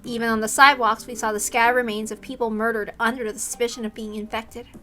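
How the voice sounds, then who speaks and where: Bright, high-voiced woman reading aloud. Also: flat delivery, fast talker, faint music behind. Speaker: streamer reading a visual novel aloud. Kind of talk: dramatic read-aloud narration.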